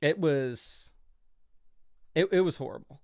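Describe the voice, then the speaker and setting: A man's voice: fairly high pitch, takes it slowly, a little mellow, no room sound, clean studio sound. A young man hosting a fantasy football livestream, talking loosely and casually with lots of fillers as he explains his picks.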